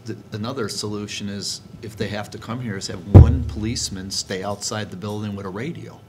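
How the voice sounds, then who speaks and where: A man's voice: on the low side, fairly even intonation, fairly quick, loud music behind the voice. A man speaking as a board member in a meeting, arguing his points insistently and checking them with questions. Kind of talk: argumentative meeting discussion. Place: indoor board meeting room. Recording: noisy meeting room recording with clicks.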